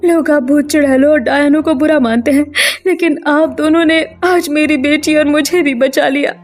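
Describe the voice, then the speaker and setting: A woman acts a young mermaid mother from a cartoon, in a very high, plaintive voice. She pleads earnestly over faint music. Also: fairly even intonation, moderate pace, a little mellow, close to an everyday voice. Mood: grateful. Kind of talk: cartoon story character voice.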